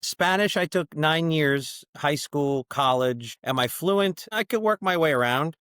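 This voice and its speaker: A middle-aged man talking casually as a podcast guest, telling stories with lots of "you know" fillers and lively quoted voices. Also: on the high side, a little slow, clean tone, no echo, studio quality. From born in Chile, raised in the US. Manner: chatty storyteller, many "you know" fillers, animated when quoting. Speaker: brewery founder, podcast guest. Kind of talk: casual conversational interview.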